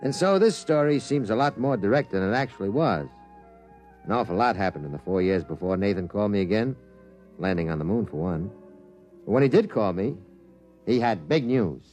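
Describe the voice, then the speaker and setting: Man acting a lively, impatient radio-play reporter. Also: lowish voice, a little fast, faint music behind, natural voice. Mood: wry narration. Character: curious, impatient newspaper reporter, skeptical and pressing. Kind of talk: 1950s radio drama acting and first-person narration.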